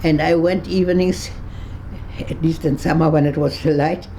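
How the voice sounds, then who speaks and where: A woman over one hundred, from Berlin, recalling her past in an interview, halting and searching for words with frequent restarts, and laughing easily with dry humor. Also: deep voice, fairly even intonation, mellow, slight background noise. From Berlin, Germany. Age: 100s. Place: her home on a kibbutz.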